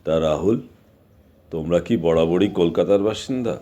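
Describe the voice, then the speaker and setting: A man playing a middle-aged village father in an audio drama, speaking in a measured, fatherly, authoritative manner. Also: sits low, warm tone, close to an everyday voice.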